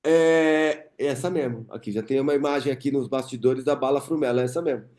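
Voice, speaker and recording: A man's voice: medium pitch, fairly quick, darkish tone. A man in his thirties from Brazil hosting a podcast, chatting casually and reminiscing with his guest. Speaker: podcast host. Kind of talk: casual interview chat.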